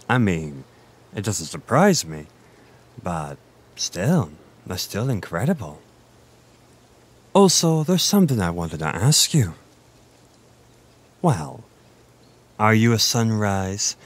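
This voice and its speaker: A man playing a flirty, gentle Irish innkeeper in an intimate, conversational roleplay audio, with a teasing, self-deprecating manner. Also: fairly low pitch, heavily acted. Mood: playful, impressed.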